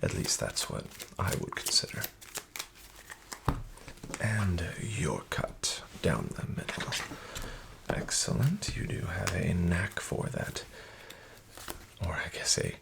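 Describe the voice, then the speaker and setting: A man playing a smooth-talking, falsely friendly casino dealer, soft-spoken and half-whispered. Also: low-pitched, very animated, takes it slowly, exaggerated voice. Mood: sly coaxing. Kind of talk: soft-spoken ASMR roleplay. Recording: audible background noise with card shuffling.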